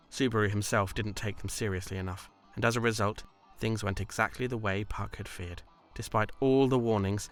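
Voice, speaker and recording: A man reading scripted story narration in a measured, literary delivery. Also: fairly low pitch, creaky, fairly bright tone.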